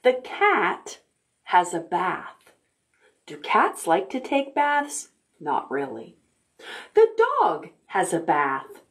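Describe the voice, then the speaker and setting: A middle-aged woman hosting a children's storytime, reading aloud in simple, expressive language for toddlers. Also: lowish voice, slow. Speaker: library storytime host. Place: indoor room, recorded on video.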